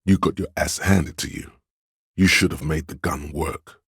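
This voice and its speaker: An actor playing a commanding, domineering inner voice of authority in a video game, speaking with a very deep, resonant bass in a measured, imperious manner. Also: male voice, fairly even intonation, fairly bright tone, slightly rough, dry recording, studio quality, full character voice. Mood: stern, scolding. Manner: imperious, measured, scolding and goading. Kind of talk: dramatic game narration, inner-voice lines.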